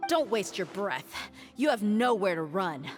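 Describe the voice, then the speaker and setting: A woman's voice: medium pitch, sing-song, measured pace. A woman playing a blunt, fearless mercenary fighter in a video game, with music behind the voice. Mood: cool, taunting. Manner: blunt, taunting. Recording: loud game music and battle effects.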